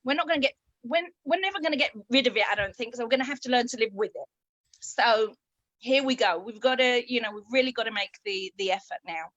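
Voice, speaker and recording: A woman's voice: on the high side, quick pace, a bit bright, no echo, dull audio. A middle-aged woman from Israel, a copywriter, answers interview questions over a video call in a chatty, upbeat way with frequent ums. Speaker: guest, copywriter.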